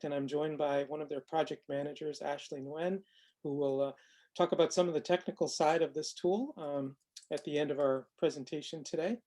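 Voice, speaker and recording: A man giving an informal webinar presentation on a video call, explaining things in measured, halting phrases. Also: fairly high pitch, a little mellow. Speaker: presenter of a screening tool demo.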